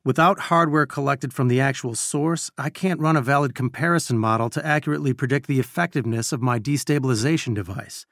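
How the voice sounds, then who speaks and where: An actor playing an earnest, brainy scientist and weary resistance leader, giving articulate, measured mission briefings in a video game. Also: male voice, medium pitch, a bit bright, dry recording, studio quality, close to an everyday voice. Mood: earnest, explanatory.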